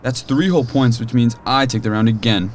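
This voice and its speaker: A man's voice: medium pitch, brisk, natural voice. A man playing a boastful, teasing card player in casual audio drama banter, with faint noise behind him. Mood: smug, playful. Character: cocky, teasing male card player among friends.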